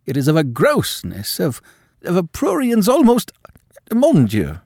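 A man voicing a haughty, prudish aristocratic countess who scolds in a high, shocked voice. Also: wildly swooping pitch, unhurried, creaky, a little mellow, breathy edge, no echo, studio quality, exaggerated voice. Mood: indignant.

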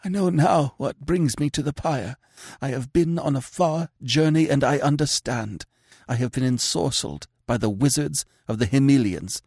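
A man's voice: medium pitch, normal speed, vocal fry, a little mellow, slightly rough, no echo, studio quality, pitched above the performer's own voice. A man voicing a bewitched, dying young king who pleads in anguish and gives imperious orders, in an audiobook reading. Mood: urgent, failing. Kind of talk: audiobook character voice.

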